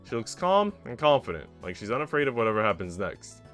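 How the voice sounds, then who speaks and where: A man's voice: mid-range voice, big pitch swings, quick pace, fairly bright tone, soft background music. A man reading the first-person narration of an earnest young heroine in a visual novel. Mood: reflective.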